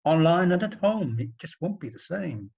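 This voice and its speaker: A man's voice: a little high, very animated, moderate pace, vocal fry, husky, lo-fi sound, pitched above the performer's own voice, heavily acted. A man voicing the world as a smug, gloating, grumbling storybook villain with a mocking, theatrical delivery. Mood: dismissive. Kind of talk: rhyming storybook character voice.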